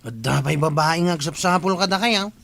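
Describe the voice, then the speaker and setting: A man's voice: fairly high pitch, a little fast, gravelly, a bit bright. A man with an Ilocano accent acting a nagging village messenger in a radio comedy drama. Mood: urging.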